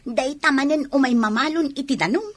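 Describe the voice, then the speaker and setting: A woman playing an ambitious, stubborn young village woman in a radio drama, with an Ilocano accent and an insistent, husky delivery. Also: high voice, fairly quick, natural voice. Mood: complaining.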